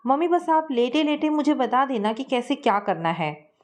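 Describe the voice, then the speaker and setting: A woman's voice: high-pitched, sing-song, normal speed. A woman voicing a cheerful, frank young daughter-in-law in a family story, speaking in a chirpy, plain-spoken way.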